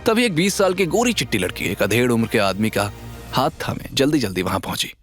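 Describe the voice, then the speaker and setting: A man's voice: medium pitch, sing-song, a little fast, fairly bright tone. A man narrating a scripted drama story with dramatic storytelling delivery, over music. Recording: music bed under voice. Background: background music.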